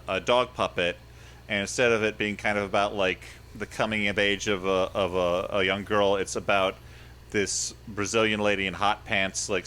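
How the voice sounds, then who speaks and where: A man's voice: fairly low pitch, pushed and bright, a little hiss or hum. A man co-hosting a comedy podcast in casual chat, rambling and self-deprecating, often laughing at himself. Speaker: podcast co-host.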